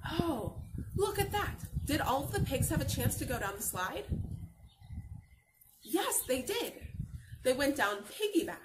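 A young adult woman reading a picture book aloud to children as a teacher, expressively, asking questions and answering them. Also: fairly high pitch. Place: outdoor patio by a garden. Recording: noisy outdoor camera recording.

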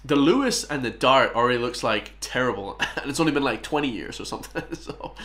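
A man's voice: medium pitch, very animated, brisk, fairly bright tone. Chatty young Irish man reacting. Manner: chatty, keeps addressing "guys". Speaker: YouTube reactor. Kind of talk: casual reaction commentary. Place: indoors in a small room.

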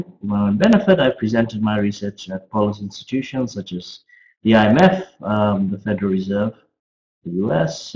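A middle-aged man gives an informal academic presentation as a finance professor, speaking haltingly with frequent pauses. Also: on the low side. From Australia. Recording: roomy, echoey webcam audio.

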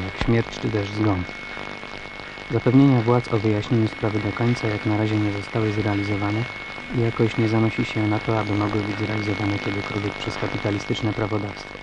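A man's voice: fairly low pitch, close to an everyday voice. A man playing a solemn, formal radio commentator reading scripted political commentary. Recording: noisy radio broadcast with hum.

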